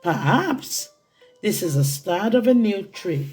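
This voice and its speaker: An eager, kind-hearted little boy hero from a picture book, read by a woman. The delivery is excited and exclaiming, with slow, drawn-out words and big pitch swings over music.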